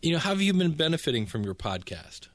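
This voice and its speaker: A man hosting a podcast talks with his listeners in a chatty, enthusiastic way. His voice sits a bit high, and the recording is dry and close. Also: big pitch swings, fast talker, a bit bright, no room sound. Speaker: podcast host. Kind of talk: casual podcast commentary.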